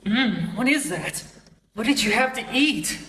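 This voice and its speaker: A performer voicing an eerie, hungry creature in a horror clip, lingering over drawn-out words. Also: mid-range voice, expressive, bright, forceful tone, reverberant. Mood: eerie craving.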